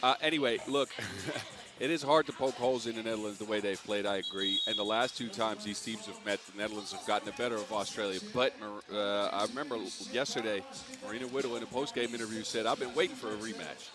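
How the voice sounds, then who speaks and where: A man giving color commentary on a basketball game, relaxed and analytical with some dry humor. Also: fairly low pitch, fast talker. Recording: music playing behind the commentary.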